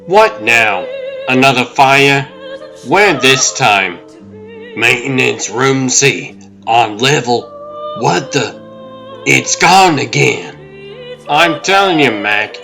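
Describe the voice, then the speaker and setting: A man's voice: medium pitch, lively intonation, slow pace, bright, forceful tone, soft background music, heavily acted. An actor playing a bored, skeptical male security guard in a comic book dub, casual and dryly grumbling. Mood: weary, skeptical.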